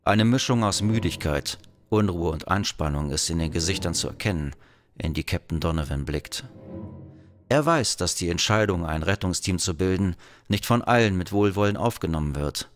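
A man's voice: deep voice, lively intonation, normal speed, faint music behind. A man giving scripted narration for a sci-fi audio drama.

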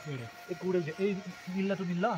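A young man vlogging outdoors in a pine park, chatting and giving quick instructions while setting up a tent. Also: high voice, muffled recording, background noise. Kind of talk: casual chat while pitching a tent.

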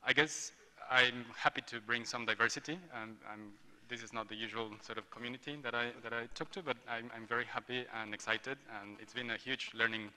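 A man's voice: mid-range voice, steady tone, fairly quick, bright, forceful tone. A man in his forties from Mexico, an industrial designer giving a hesitant panel talk at a conference table mic, with many ums and restarts. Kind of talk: panel presentation. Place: large hotel ballroom roundtable. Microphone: table gooseneck mic. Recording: long hall reverb.

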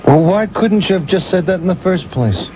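An actor playing a senior screenwriter in a radio play, blunt and needling, with a wry way of talking. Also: male voice, high voice, expressive, moderate pace, dark tone, slight background noise, poor audio. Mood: sarcastic.

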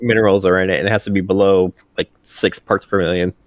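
A man's voice: deep voice, level tone, quick pace, no room sound, lo-fi sound. A man who works as a Marine aircraft mechanic answers technical questions in a plain-spoken, casual way over a video call.

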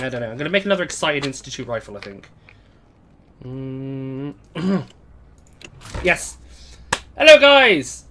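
A young man with a hoarse, fading voice, chatting casually to viewers as he live-streams a game at home on a desk mic. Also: a little high, fairly bright tone, a little hiss or hum. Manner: chatty, greets viewers, reads chat aloud. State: hoarse, voice going.

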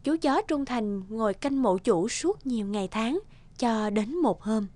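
A woman's voice: a little high, animated, measured pace, noisy background. A woman narrating a folk tale in a scripted storytelling style. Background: background music.

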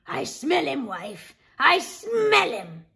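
A woman voicing a huge, greedy male ogre who bellows his lines in a raised, shouting voice. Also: high-pitched, sing-song, takes it slowly, vocal fry, pitched above the performer's own voice, full character voice. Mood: menacing.

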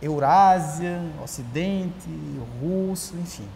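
A Brazilian man in his thirties talks as an interview guest, animated and prone to restarting his sentences. He sits in a large empty auditorium, miked on his lapel. The long hall reverb lingers behind him, with a faint hiss. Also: sits high, takes it slowly. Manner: animated storyteller, frequent restarts. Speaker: writer and journalist, guest. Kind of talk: casual interview talk. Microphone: lapel mic.

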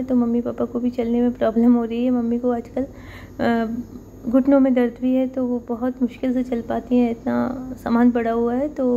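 Woman in her 30s, home vlog, phone at arm's length, slightly dull audio, faint room noise. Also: on the high side. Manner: chatty, explains daily chores at an even pace. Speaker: vlogger. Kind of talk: casual home vlog narration. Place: apartment room, indoors.

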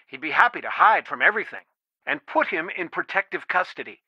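A man's voice: on the high side, animated, brisk, husky, no room sound. An actor playing a worried, protective middle-aged father in a video game, earnest and pleading, heard through a telephone filter. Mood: guarded, explaining. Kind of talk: naturalistic video game dialogue, one side of a phone call. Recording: dry, band-limited phone-like sound.

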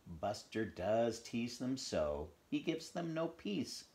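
A man voicing a kindly, tender woman who dotes on her pets, in a gentle storybook reading. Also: medium pitch, very animated, slow. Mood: fond, proud. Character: kindly woman pet owner, tender and cheerful.

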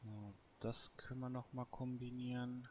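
A man talks his way through a game in a casual tone. His voice sits at an average pitch, and he mutters and muses as if to himself. There is clear noise behind him. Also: level tone, normal speed. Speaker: gaming YouTuber, let's player. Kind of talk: casual solo gameplay commentary, thinking aloud.

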